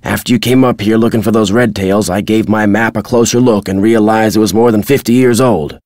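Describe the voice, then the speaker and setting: An older American man voicing a crotchety retired birdwatcher in a video game, folksy and gruff with a husky, dry delivery. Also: on the low side, fairly even intonation, a little fast, darkish tone, no room sound, lightly acted. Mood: wistful, plainspoken. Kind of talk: video game character dialogue.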